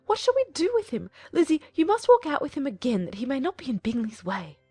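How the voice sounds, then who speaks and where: Shrill, fussy, excitable mother. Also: fairly quick, dry recording, soft background music. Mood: vexed, exclaiming. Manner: exclamatory, gushing complaint. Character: fussy, excitable middle-aged English mother, easily vexed. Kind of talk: dramatized audiobook acting.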